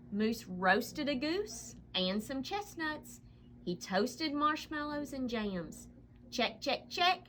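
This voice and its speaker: A woman reading a children's picture book aloud as a storyteller, with expressive, dramatic delivery and drawn-out emphasis. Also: sits high.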